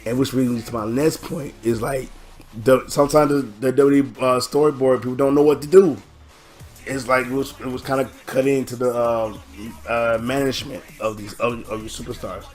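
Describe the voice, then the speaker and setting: A man talks through a casual reaction video on his own channel, his voice hoarse and worn. He rambles, stalls on fillers and restarts his sentences at an ordinary mid pitch. Faint music sits underneath him. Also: steady tone, moderate pace. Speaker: channel host.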